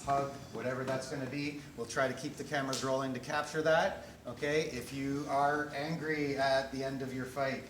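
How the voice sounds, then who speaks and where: A man's voice: medium pitch, fairly even intonation, normal speed, few pauses. A man in his forties giving an informal rules briefing to a group as an event organizer, easygoing and often checking in with short tag questions. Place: large echoey indoor training hall with mats. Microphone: distant camera microphone. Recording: echoey room, distant mic, loud background noise.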